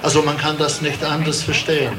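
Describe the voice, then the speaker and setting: Halting middle-aged Englishman, noisy recording. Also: on the high side, flat delivery. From England. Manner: halting, searching for words. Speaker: opera director as guest speaker. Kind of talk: public speech at a demonstration. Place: outdoors at a street demonstration. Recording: clearly noisy outdoor rally recording.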